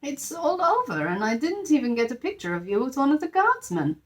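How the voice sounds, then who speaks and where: A woman voicing a kindly older gentleman in a children's storybook, courteous and patiently explaining. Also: high voice, big pitch swings, fairly quick, reverberant, pitched above the performer's own voice. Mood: regretful.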